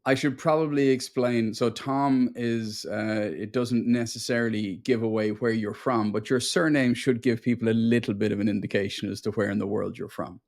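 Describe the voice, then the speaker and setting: A man's voice: mid-range voice, fairly even intonation, normal speed, few pauses, a little mellow. A man from Ireland hosting a casual video-call interview, talking in a friendly, chatty way with plenty of fillers. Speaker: host.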